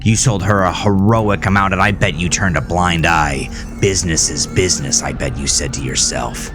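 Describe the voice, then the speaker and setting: A man's voice: sits low, normal speed, lightly acted. A man voicing an enraged, vengeful, grieving husband in anguished, accusing rants for a horror audio drama, with music behind him. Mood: bitter.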